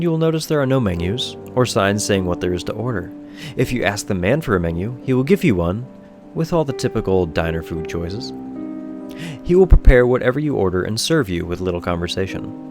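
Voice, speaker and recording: A man reading a scripted second-person bedtime story in a measured, solemn storytelling manner. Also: fairly low pitch.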